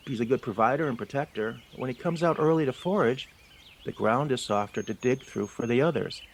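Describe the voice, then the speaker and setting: A man's voice: medium pitch, brisk, a little hiss or hum, natural voice. A man voicing a seasoned, practical field mouse mentor in an audio drama, instructive and matter-of-fact. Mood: instructive, firm. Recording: faint outdoor ambience with birdsong.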